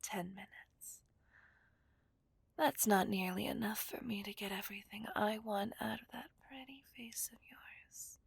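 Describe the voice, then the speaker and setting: Woman acting a slow, breathy, whispering seductive villainess. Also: mid-range voice, fairly even intonation, no room sound, full character voice. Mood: menacing, seductive. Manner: slow, teasing, dominant. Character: seductive, menacing female villain kidnapper, possessive and teasing. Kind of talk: whispered ASMR roleplay.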